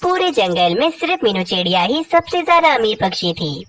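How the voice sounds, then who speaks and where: A woman's voice: a little high, sing-song, a little fast, fairly bright tone, a little hiss or hum. A woman narrating a scripted children's story, recorded at a distance from the mic.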